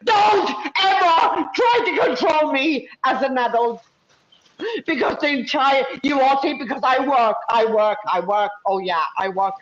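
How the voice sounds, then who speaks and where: A woman's voice: high voice, animated, unhurried. A distraught woman venting without a script, swearing heavily in an impassioned way. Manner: heavy swearing, raised impassioned delivery. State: distraught, upset. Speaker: livestream guest and chat moderator. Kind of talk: emotional unscripted venting. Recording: echoey, dull lo-fi online audio.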